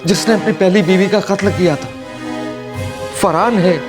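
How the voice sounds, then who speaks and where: A man's voice: sits high, normal speed, over music, close to an everyday voice. An actor playing a distraught middle-aged father, earnest and emotional, in a TV crime drama. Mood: shocked, agitated. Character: distraught father of a runaway daughter.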